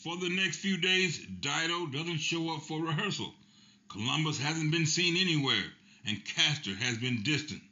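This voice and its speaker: A man giving scripted narration in an even, matter-of-fact way. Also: on the high side, moderate pace. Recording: dull lo-fi, faint music.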